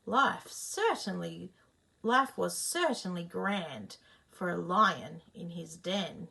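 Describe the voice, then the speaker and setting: A woman reading a children's picture book aloud, expressive, pausing to ask her young listeners questions. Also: mid-range voice, vocal fry. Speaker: storyteller.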